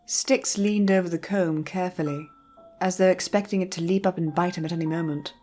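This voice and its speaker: A woman narrating a scripted story in a measured, literary storytelling manner. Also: lowish voice, steady tone, mellow, faint music behind.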